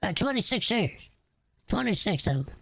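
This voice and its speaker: A woman's voice: mid-range voice, big pitch swings, normal speed, creaky, husky, full character voice. A woman playing a rambling, crude, folksy older woman with a Southern US accent on an improvised prank phone call. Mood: distracted, folksy.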